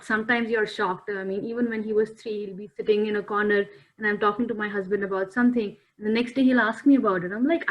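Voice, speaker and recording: A woman's voice: medium pitch, muffled recording. A woman, speaking thoughtfully and earnestly as a guest on a talk show. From India. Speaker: panel guest. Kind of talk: reflective talk-show discussion. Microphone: video call.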